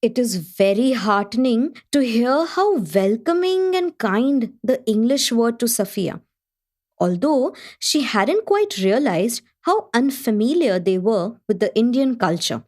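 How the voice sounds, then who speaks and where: An actress playing the gracious host of a literary gathering in a radio play, formal and appreciative. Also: female voice, on the high side, very animated, measured pace, a little mellow. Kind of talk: scripted radio play narration.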